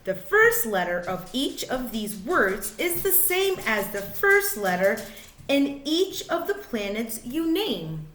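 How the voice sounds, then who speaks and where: A woman reads a children's storybook aloud in a fairly high, very animated voice. She goes phrase by phrase, clearly shaping it for young listeners. The recording has a roomy echo. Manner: deliberate, expressive, phrase-by-phrase delivery for young listeners. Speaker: teacher reading a children's book aloud.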